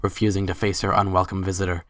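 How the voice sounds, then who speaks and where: A man's voice: sits low, flat delivery, quick pace. A man narrating a scripted story as a dramatic reading.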